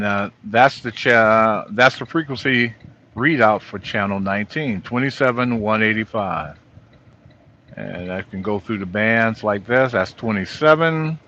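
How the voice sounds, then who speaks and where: A middle-aged or older man, a trucker, giving an informal, halting walkthrough of gear from inside his truck cab. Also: lowish voice, bright, forceful tone. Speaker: truck driver vlogger. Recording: dull lo-fi audio.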